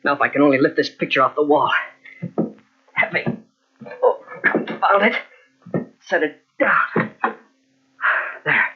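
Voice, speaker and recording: Jittery weak-willed gent, swoopy husky voice, flustered, old radio drama, muffled tape. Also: male voice, a little high, animated, a little slow, pauses often, soft background music. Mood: wavering. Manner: flustered, exclamatory, talking to himself. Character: anxious, fretful gentleman speculator, weak-willed nephew. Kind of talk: old-time radio mystery acting. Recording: dull lo-fi old radio cassette recording.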